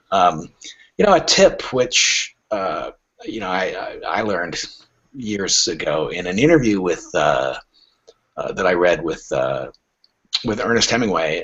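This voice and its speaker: A man chatting casually as an interview guest, giving advice in a halting, storytelling way. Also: on the low side, a bit bright, breathy edge. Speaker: podcast guest, literary agent. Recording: dull, lo-fi audio.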